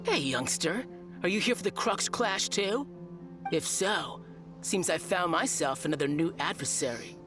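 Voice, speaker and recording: A woman voicing a smug, pompous, self-satisfied high achiever entering a tournament in a video game. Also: animated, measured pace. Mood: confident. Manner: boastful, pompous, breezily confident. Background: game music.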